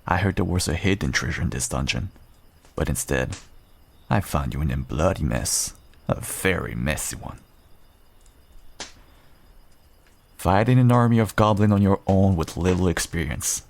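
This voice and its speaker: Man playing a gruff, deep-voiced half-wolf rogue. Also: lively intonation, heavily acted. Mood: dry, scolding. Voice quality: low, gravelly, husky. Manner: unhurried, dry, understated, self-deprecating. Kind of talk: intimate fantasy roleplay audio acting.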